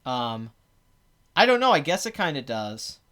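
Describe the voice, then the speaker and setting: Male host, raspy and phlegmy, casual rambling commentary with blunt sarcastic asides. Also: on the high side, sing-song, unhurried, a bit bright. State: clogged throat. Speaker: box office show host.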